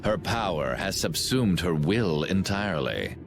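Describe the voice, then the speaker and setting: Deep, slow, pompous villain commander. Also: male voice, animated, creaky, music in the background, full character voice. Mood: cold, imperious. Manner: pompous, theatrical, measured. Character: cold, grandiose villain commander scheming with ancient power. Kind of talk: video game voice acting.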